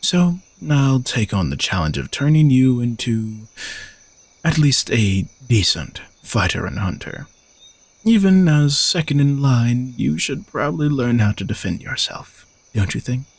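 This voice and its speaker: A man's voice: medium pitch, sing-song, a little slow, no room sound. A man playing an arrogant, sardonic knight who is a reluctant royal bodyguard, teasing and condescending in a romantic audio roleplay. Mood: teasing, smug. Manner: teasing, dry, exasperated sighs, condescending.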